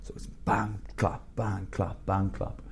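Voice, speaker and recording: A man around fifty, a headmaster telling blunt, animated stories in a candid interview. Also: sits low, takes it slowly, creaky, a little hiss or hum. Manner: blunt, animated storyteller with bursts of laughter.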